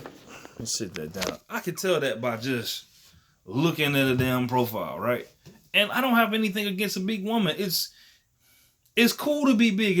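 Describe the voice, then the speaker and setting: A thirty-year-old man with a bright, forceful tone and an ordinary middle pitch. He rambles casually to the camera, swearing freely, using slang and leaning on "you know what I'm saying.". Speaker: vlogger.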